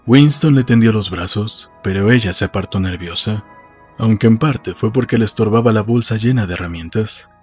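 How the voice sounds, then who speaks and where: A man's voice: on the low side, moderate pace, a little mellow, breathy edge. A man narrating a novel as a scripted literary audiobook. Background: faint music.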